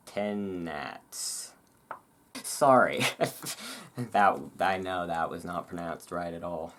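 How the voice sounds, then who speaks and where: A host reading aloud in a relaxed ASMR style, with informal, self-deprecating asides. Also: sits low. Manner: informal, self-deprecating over hard words. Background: thunderstorm ambience.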